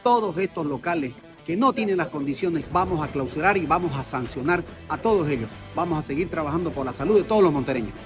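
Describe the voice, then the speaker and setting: A man speaks fast and high, making a firm, declarative statement to camera. Clear guitar music plays behind his voice, as in a broadcast news segment. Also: animated. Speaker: official.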